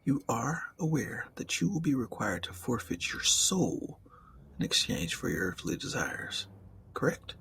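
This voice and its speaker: A man voicing a suave, mocking, predatory demon in an ASMR roleplay, speaking formally and eloquently and often dropping into a breathy whisper. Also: mid-range voice, big pitch swings, unhurried, sharp tone, exaggerated voice. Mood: teasing, businesslike.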